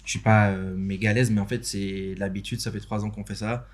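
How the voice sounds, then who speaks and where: A man's voice: fairly low pitch, steady tone, fairly quick. A young man from Benin speaks as a podcast guest, talking casually and hesitantly, with many fillers and restarts. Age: young adult. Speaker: podcast guest, interview host and motion designer. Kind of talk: casual chat. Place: podcast studio.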